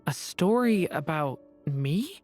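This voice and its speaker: Game role: young dragonborn boy, gentle and wistful, on the low side for a female voice. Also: expressive, takes it slowly, faint music behind. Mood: thoughtful. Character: young male dragonborn youth, earnest and wistful. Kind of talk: video game character acting.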